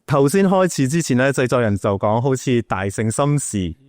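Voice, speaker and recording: A man's voice: medium pitch, sing-song, moderate pace, a little mellow, no room sound, studio quality. A man with a Malaysian accent hosting a casual, chatty interview. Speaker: podcast host, interviewer.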